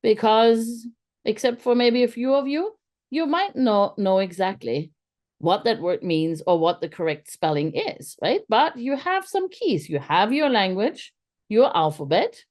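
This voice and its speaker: A German-born woman, a teacher trainer, giving an online lecture in an unhurried, thoughtful way with many pauses and fillers. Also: mid-range voice, a little mellow. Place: video call.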